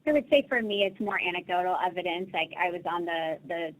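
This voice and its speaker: A woman's voice: lowish voice, a little fast, poor audio. A woman on a webinar panel, telling a friendly anecdote in a casual way.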